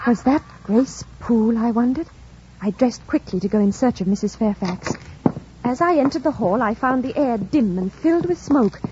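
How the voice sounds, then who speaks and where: An actress playing an earnest, composed young governess in an old radio drama, speaking with a refined British accent and careful, formal phrasing. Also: female voice, a little high, animated, normal speed, dark tone, slight background noise, natural voice. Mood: reflective. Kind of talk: 1940s radio drama narration and acting. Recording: dull old radio recording. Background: music.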